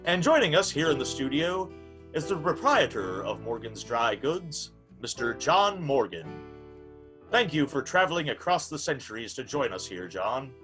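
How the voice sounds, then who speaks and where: A man's voice: medium pitch, sing-song, moderate pace, fairly bright tone, faint music behind. A man playing a formal, polite old-time radio hour host, announcing in a theatrical, scripted showman's style. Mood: grand, welcoming.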